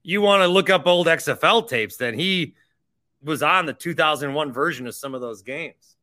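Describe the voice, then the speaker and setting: A man's voice: on the high side, moderate pace, sharp tone. A man hosting a sports podcast, talking conversationally and with opinion, repeating phrases for emphasis. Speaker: podcast host. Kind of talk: sports podcast talk with sponsor reads.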